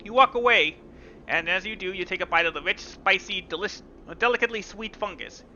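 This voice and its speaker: A man's voice: sits high, fairly quick, sharp tone, slight background noise. A man hosting a gaming video, reading game text dramatically with casual asides. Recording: dull lo-fi sound with game music.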